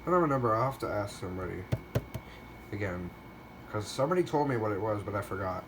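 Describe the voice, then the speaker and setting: A man's voice: lowish voice, animated, fast, background noise. A man giving a casual show-and-tell narration in a hesitant, rambling way, often correcting himself. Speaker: video creator showing a weather radio collection.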